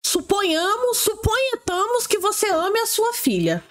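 High-voiced sarcastic young woman streamer. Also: wildly swooping pitch, a bit bright. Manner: sarcastic, blunt, quick asides. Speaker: streamer reacting to videos. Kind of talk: casual sarcastic react commentary. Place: home streaming room, seated in gaming chair.

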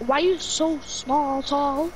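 A woman's voice: high voice, fairly even intonation, takes it slowly, a little hiss or hum, poor audio. A woman, teasing and blunt, tossing slang into casual chat.

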